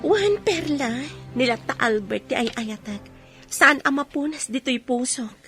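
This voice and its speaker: A woman with an Ilocano accent playing a lovelorn, wistful young mother in a radio drama, her voice husky and earnest. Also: high voice, animated, measured pace, a bit bright, soft background music, full character voice. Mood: wistful, longing. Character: lovelorn young mother longing for lover and daughter.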